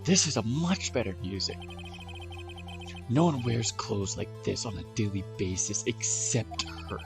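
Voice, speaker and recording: A man's voice: on the low side, a little slow, creaky. A man reading an earnest young defense lawyer. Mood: arguing. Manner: animated, emphatic argument. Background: game music.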